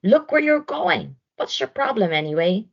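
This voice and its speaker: A woman voicing an irritable storybook rhino, gruff, blunt and ready for a fight. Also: fairly high pitch, sing-song, normal speed, muffled recording, voice pushed up, full character voice. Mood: irritated. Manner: blunt, challenging.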